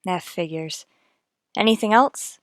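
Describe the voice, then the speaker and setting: A woman's voice: fairly low pitch, a little slow, a bit bright, dry recording, clean studio sound. A woman playing a terse, businesslike police detective in a radio crime drama, clipped and matter-of-fact. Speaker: narrator and lead actor. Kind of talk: old-time radio crime drama acting.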